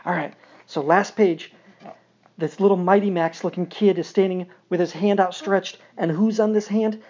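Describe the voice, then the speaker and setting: A man's voice: high-pitched, steady tone, normal speed, darkish tone. A middle-aged man reads a picture book aloud to a young child, with wry, informal, conversational asides. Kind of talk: storybook read-aloud with casual asides. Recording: dull, muffled audio with background noise.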